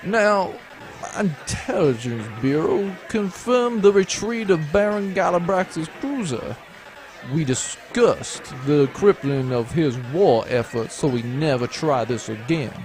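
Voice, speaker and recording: An actor playing a smug, treacherous baron in a space adventure audio drama, mock-genial and pompous. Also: male voice, on the high side, animated, slow pace, a little mellow. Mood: genial, sly. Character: smug, treacherous male baron, smoothly menacing villain.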